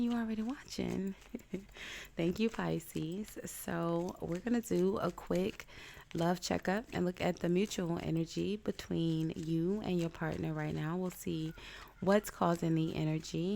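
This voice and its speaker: A woman giving a casual tarot reading, stuffed up with a cold, talking in a chatty, halting way with many ums. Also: on the low side, creaky, a little mellow, slight background noise. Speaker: tarot reader.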